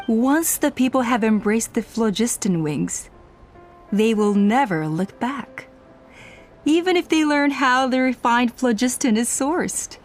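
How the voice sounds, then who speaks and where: Brief: middle-aged scheming elder in a game, slightly high voice, calm persuasive command. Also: female voice, slight background noise. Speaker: tribe elder.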